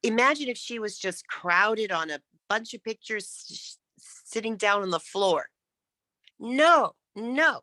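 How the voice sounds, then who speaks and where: A woman's voice: a little high, animated, slow, sharp tone, no room sound. A woman coaching public speaking in an interactive lesson, encouraging and emphatic as she demonstrates.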